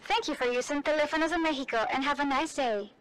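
An actress playing a polite, indifferent telephone operator in an audio drama. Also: female voice, sits high, quick pace, fairly bright tone, a little hiss or hum, poor audio. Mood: polite, flat. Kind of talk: scripted customer-service politeness.

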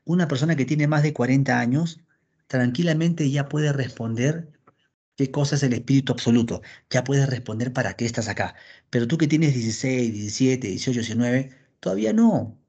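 A man teaching a philosophy class over a video call, lively and acting out his students' questions. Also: medium pitch, fairly quick, husky. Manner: animated, acts out students' questions. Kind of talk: online class lecture. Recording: dull lo-fi call audio.

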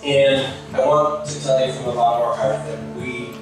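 A man's voice: medium pitch, fairly even intonation, moderate pace. A middle-aged man giving a heartfelt, humorous wedding speech as father of the bride. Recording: echoey distant PA with music over it. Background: music.

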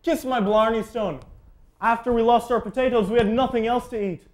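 A man's voice: very high voice, fairly even intonation, fairly quick. A teenage male student anchor doing scripted comedic news banter in a put-on, exaggerated Irish accent. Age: teens. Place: small indoor school TV studio.

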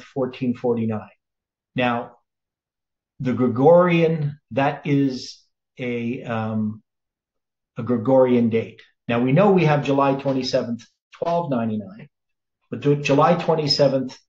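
A man in his sixties leading a sermon-style Bible study, explaining slowly and thinking aloud, with frequent self-corrections. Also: mid-range voice, darkish tone. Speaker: preacher. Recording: roomy, slightly dull sound.

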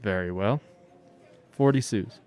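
A man playing a gentle, courteous stranger in a radio drama, speaking in a measured way. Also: on the low side, lightly acted. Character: gentle, kindly male stranger, a traveler.

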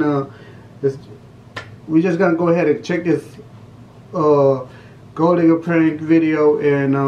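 Chill young guy reacting to a video, talks slow, roomy sound. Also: on the high side, darkish tone, highs cut off, slight background noise. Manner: laid-back slang, laughing asides. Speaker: YouTube reaction host. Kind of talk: casual reaction commentary. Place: indoor room at a glass table.

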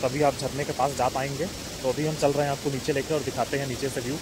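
A man's voice: mid-range voice, steady tone. A man narrating a walking travel vlog outdoors, addressing viewers as friends in an earnest, concerned way. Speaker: travel vlogger. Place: outdoors at a waterfall and river. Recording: outdoor phone audio with loud waterfall noise. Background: rushing water.

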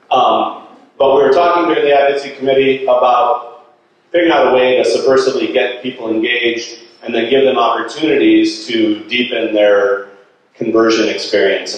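Middle-aged man presenting in a very echoey hall. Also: medium pitch. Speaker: guest speaker, nonprofit director. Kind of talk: informal public presentation with slides. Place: indoor meeting hall. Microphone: handheld microphone through room speakers. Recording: very echoey room, distant sound.

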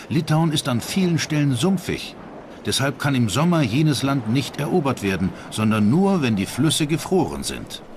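A man's voice: medium pitch, noisy background. A man narrating a scripted history documentary as its narrator. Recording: music under the narration.

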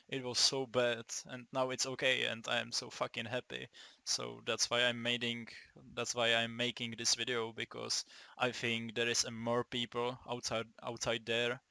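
A young man from the Czech Republic with a Czech accent, giving a casual, halting gaming tutorial, swearing often and restarting his sentences. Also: medium pitch, creaky, sharp tone, dry recording. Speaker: gaming video maker.

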